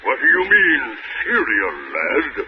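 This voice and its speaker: An actor playing a hungry, menacing but gullible giant with pompous storybook speech, in old radio cartoon style. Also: male voice, a little high, huge pitch swings, unhurried. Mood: greedy. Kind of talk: 1930s radio cartoon acting. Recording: noisy, narrow-band old radio recording.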